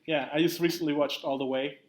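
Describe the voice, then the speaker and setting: A man's voice: fairly high pitch, steady tone, quick pace. A young man with a slight Mexican accent, co-hosting a casual podcast and explaining things at length with many fillers. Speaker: podcast co-host. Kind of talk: casual chat.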